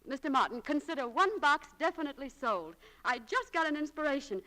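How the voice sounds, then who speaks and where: A high-strung young American shopgirl in a 1940s radio play. Her voice sits fairly high, and she speaks with a lofty, dramatic air. She comes across as proud and romantic. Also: sing-song, a little fast, pushed and bright, full character voice. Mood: dreamy.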